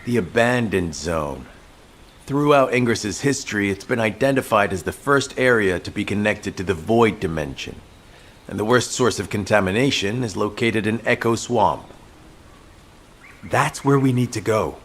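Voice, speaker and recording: Low-voiced, authoritative male military commander. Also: lively intonation, moderate pace, fairly bright tone. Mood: grave, businesslike. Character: tense, commanding male military mission officer briefing troops. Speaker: mission commander. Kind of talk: scripted game dialogue, briefing. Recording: music behind the voice.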